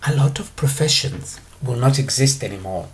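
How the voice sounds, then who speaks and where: A man talking alone as a spiritual teacher, explaining his ideas in a calm, unhurried way with drawn-out pauses. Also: mid-range voice, low-quality recording. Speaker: spiritual teacher answering questions. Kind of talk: monologue lecture.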